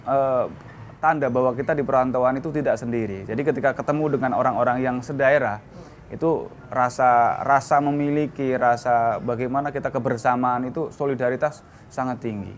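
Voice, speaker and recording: A man speaks earnestly at an ordinary pitch, pausing with hesitant fillers. Faint noise lingers behind him. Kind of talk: interview answers.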